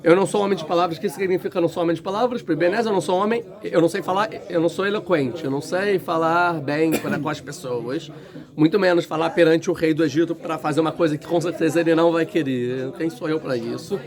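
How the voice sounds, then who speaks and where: A man's voice: fairly high pitch, quick pace. A man teaching a casual Torah lesson to a class, thinking aloud, restarting sentences and putting questions to the students. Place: large indoor room. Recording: room with crowd noise. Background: students in the room.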